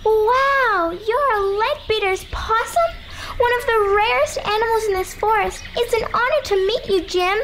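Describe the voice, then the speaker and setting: Polite chatty little girl as voiced by an actor, very high voice, faint hiss behind. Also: unhurried. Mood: amazed.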